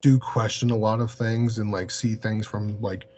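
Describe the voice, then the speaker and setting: A man chatting casually, haltingly and in a rambling way, leaning heavily on filler words. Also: lowish voice, flat delivery, a little mellow. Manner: halting, rambling, heavy use of "like". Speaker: podcast interviewer. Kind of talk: casual chat. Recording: dull lo-fi mic with hum and hiss.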